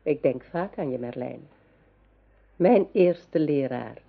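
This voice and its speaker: An actress playing a brooding, resentful sorceress in a radio drama, cool and measured with veiled bitterness. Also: female voice, extremely low pitch, expressive, gravelly, slightly rough, full character voice. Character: brooding, resentful sorceress, the king's half-sister. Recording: thin, phone-like old radio play recording.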